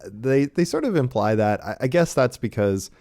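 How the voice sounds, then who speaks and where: A man's voice: mid-range voice, sing-song, normal speed, dark tone, dry recording, clean studio sound. A man hosting a casual podcast discussion, thinking aloud with hesitations and often checking in with "right". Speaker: podcast host. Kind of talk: casual podcast discussion of old radio shows.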